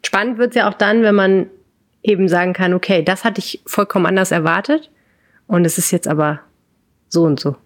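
A woman's voice: mid-range voice, brisk. A German woman hosting a podcast interview, asking curious, encouraging questions. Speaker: podcast host.

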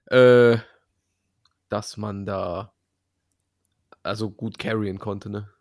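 A man's voice: fairly low pitch, steady tone, drawn-out words, a little mellow, dry recording, studio quality. A man in a casual chat about video games, colloquial and mixing in English gaming slang as a co-host.

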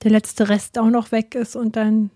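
A woman's voice: on the high side, level tone, moderate pace, warm tone, no echo, clean studio sound. A woman co-hosting a podcast in casual chat, rambling with unsure asides while she has a cold. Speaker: podcast co-host. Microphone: remote recording, separate from co-host.

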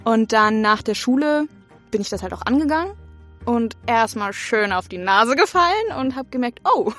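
A woman's voice: fairly high pitch, expressive, moderate pace, a bit bright, faint music behind. A woman, chatting casually as an interview guest. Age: 20s. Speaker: interview guest, acting student. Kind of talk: casual chat.

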